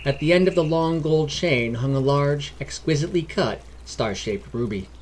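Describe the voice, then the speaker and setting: A man's voice: a little high, animated, moderate pace, darkish tone, a little hiss or hum. A man hosting and narrating a scripted fantasy adventure podcast. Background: background music.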